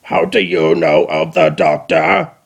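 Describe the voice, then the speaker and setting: Flat robotic alien machine, gravelly and slow, some guy putting it on. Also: deep voice, pitched below the performer's own voice, full character voice. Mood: cold. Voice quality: gravelly, mechanical. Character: cold gravelly mechanical alien war machine.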